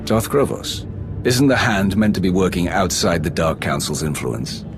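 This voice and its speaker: A strikingly deep male voice of a blunt Sith warrior, rising and falling a lot as he questions. Loud music plays under his game lines. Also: animated, moderate pace, vocal fry. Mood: terse. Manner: terse, direct.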